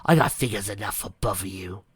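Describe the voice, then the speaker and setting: A vicious possessed teen girl hisses lewd threats in a hoarse whisper, as a man reads her lines. The sound is close and dry, with no room around it. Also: lowish voice, normal speed, fairly bright tone, no room sound, full character voice. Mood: snarling. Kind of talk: fan fiction reading.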